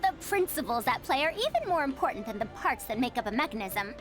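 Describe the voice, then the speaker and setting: A woman's voice: sits high, very animated, quick pace, music in the background. A woman playing a proud, theatrical scholar and inventor in an anime-style game, lecturing children with patient self-importance. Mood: instructive.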